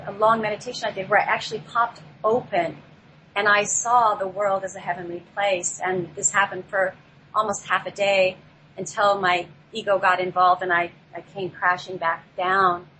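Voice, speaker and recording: Woman telling her life story on a talk show, kinda halting and reflective, bit of noise on a laptop mic. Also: mid-range voice, fairly bright tone. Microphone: laptop built-in microphone, not headset. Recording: noisy, off-mic laptop microphone.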